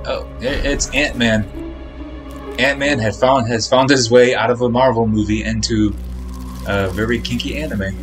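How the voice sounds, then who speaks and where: A man's voice: medium pitch, steady tone, moderate pace. Wry male streamer reacting over game music. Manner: wry, teasing asides. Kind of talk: casual live reaction commentary.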